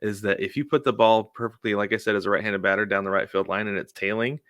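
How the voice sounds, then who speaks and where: A man with a somewhat low voice chats casually as a sports podcast co-host. The sound is close and dry, and his delivery is informal and anecdotal, sprinkled with "like" and "you know". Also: steady tone, quick pace, a bit bright, dry recording.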